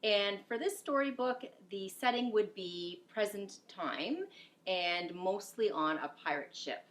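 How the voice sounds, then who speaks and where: A middle-aged woman reading a picture book aloud as a teacher, expressive and explanatory, with deliberate delivery. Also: mid-range voice. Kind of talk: expressive children's story reading.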